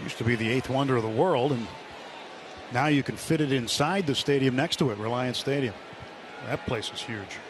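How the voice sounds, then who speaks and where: A man doing color commentary on a baseball radio broadcast, relaxed and chatty with small restarts. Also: mid-range voice, normal speed. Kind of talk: casual booth chat. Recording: radio broadcast with crowd noise behind.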